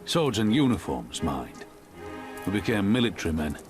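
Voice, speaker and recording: A wistful worn-out soldier in a video game, low voice, plain talking, music playing loud under it. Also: male voice, very animated, normal speed. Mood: wistful, reflective. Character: gruff, weary veteran soldier man, wistful and plainspoken. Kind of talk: scripted video game cutscene dialogue.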